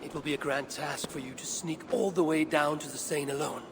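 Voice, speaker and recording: Norse warrior, game drama, male actor: terse, earnest, plainspoken, fairly high for a man, noisy ambient background. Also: steady tone, fairly quick, natural voice. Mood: grave, encouraging. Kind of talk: dramatic video game acting.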